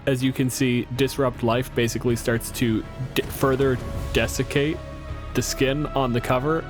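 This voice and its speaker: A man acting as game master, narrating and explaining rules in a measured, matter-of-fact manner. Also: medium pitch. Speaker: game master, podcast host. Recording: music bed behind the voice.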